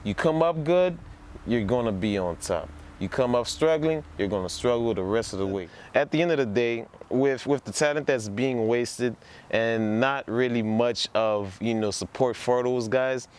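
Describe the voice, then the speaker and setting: A man's voice: mid-range voice, expressive, moderate pace. A man from New Orleans talks casually and enthusiastically as a presenter, repeating words for emphasis. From New Orleans, United States. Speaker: presenter introducing a music production project. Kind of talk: casual informal talk to camera. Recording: music playing behind the voice. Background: music.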